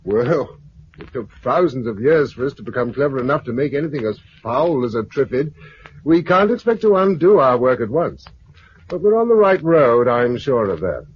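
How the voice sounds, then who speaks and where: An actor with a British accent playing a resolute, protective leader of survivors in a 1950s sci-fi radio drama. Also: male voice, mid-range voice, big pitch swings, moderate pace, lightly acted. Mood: reflective, resolute. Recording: dull, noisy 1950s radio recording.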